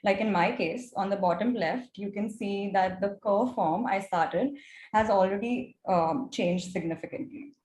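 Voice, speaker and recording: A young woman with an Indian accent and Gujarati as her mother tongue presents prepared material. Her voice sits at an average pitch. The recording is roomy and echoey, with some background noise. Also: a little slow, a little mellow. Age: young adult. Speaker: mentorship student presenting her project.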